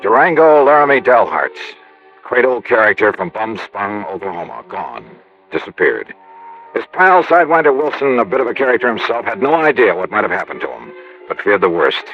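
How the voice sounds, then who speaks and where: A brisk American investigator in a 1950s radio drama. His voice swings widely with a gravelly edge, on a slightly dull old recording. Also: lowish voice, big pitch swings, soft background music. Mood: wry narrating. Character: brisk, inquisitive insurance investigator hero who narrates. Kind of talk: 1950s radio drama, scripted noir narration.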